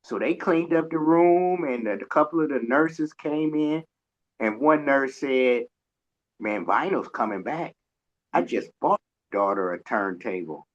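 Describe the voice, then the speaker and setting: Slow-talking man, dull lo-fi sound. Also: mid-range voice, animated. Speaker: radio show co-host. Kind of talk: casual conversational interview, anecdotes. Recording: dull, lo-fi audio.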